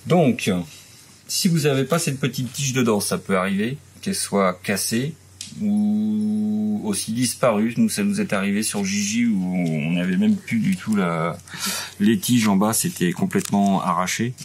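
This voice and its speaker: A man's voice: lowish voice, unhurried, slightly rough. A French man in his forties casually explaining a repair step by step, hesitant with many fillers, in a large workshop. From France. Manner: hesitant, many "euh" and restarts. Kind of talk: casual how-to demonstration.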